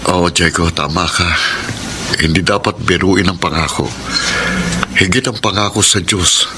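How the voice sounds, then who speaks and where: A man's voice: low voice, steady tone, a little slow, noisy background. A man with a Filipino accent playing an earnest, pleading, repentant former gambler in a radio drama. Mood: earnest resolve.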